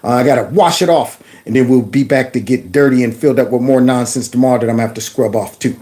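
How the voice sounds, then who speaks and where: A middle-aged man hosting a commentary show, mocking and animated with loud outbursts. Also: medium pitch, fast. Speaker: host. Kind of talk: commentary vlog reacting to clips.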